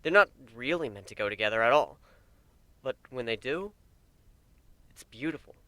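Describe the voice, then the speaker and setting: A man voicing a bashful, gentle male pony husband with a sheepish, hesitant manner in a storybook reading. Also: medium pitch, lively intonation, normal speed, no room sound. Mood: sheepish, tender.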